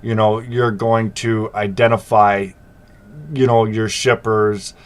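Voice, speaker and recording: A man's voice: on the low side, little pitch movement, takes it slowly, smooth. A middle-aged man hosting a freight business channel, talking casually to viewers with a slight sore throat, plenty of fillers and easygoing asides. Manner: conversational, many fillers, reassuring asides. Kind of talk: casual talk to camera.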